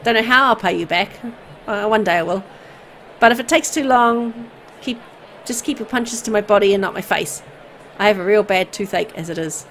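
A woman's voice: mid-range voice, moderate pace, fairly bright tone, slight background noise, lightly acted. Woman putting on a timid, hesitant young boy. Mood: grateful, anxious. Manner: hesitant, apologetic, naively cheerful. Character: timid, meek, eager-to-please poor young boy.

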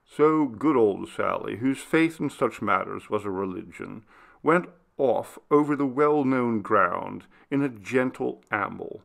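A man narrating a classic gothic story as an audiobook, in a measured, expressive literary manner. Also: lowish voice, gravelly.

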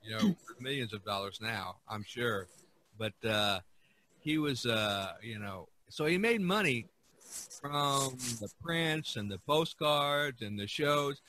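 A man with a bright, forward voice at an ordinary pitch hosts a relaxed podcast discussion. He tells stories with enthusiasm, often tripping over a word and starting again. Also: slow, slight background noise. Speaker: podcast host. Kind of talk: casual art history discussion.

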